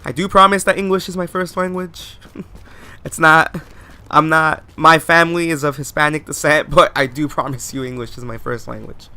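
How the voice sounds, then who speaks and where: A man's voice: fairly high pitch, fairly even intonation, moderate pace, pushed and bright, clear, resonant tone. A man giving post-recorded commentary over gameplay, chatty and rambling, often asking viewers questions. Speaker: let's play host.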